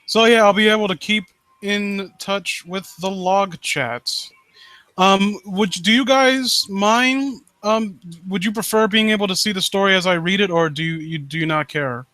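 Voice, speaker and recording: A man hosting a casual live stream, talking in a halting, rambling way with joking, foul-mouthed asides. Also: high voice, pushed and bright, no room sound, rough recording. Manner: rambling, halting, self-interrupting. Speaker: livestream host.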